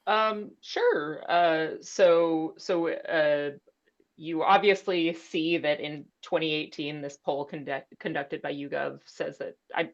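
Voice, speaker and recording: A woman's voice: low voice, steady tone, slow. A woman speaking as a panelist in an informal discussion, hesitant and off the cuff. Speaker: librarian panelist. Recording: dull, lo-fi online call audio.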